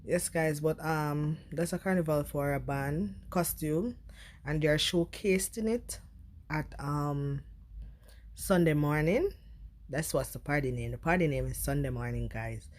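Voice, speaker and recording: A woman's voice: deep voice, unhurried, a little mellow. A young woman with a Trinidadian accent, chatting casually to her viewers in a vlog and slipping into Trini creole. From Trinidad and Tobago. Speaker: vlogger.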